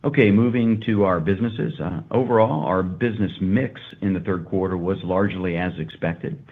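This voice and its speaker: A man, a company executive, gives a prepared corporate earnings presentation in a measured, formal manner. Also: deep voice, breathy edge. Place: earnings conference call. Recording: muffled, phone-like conference call line.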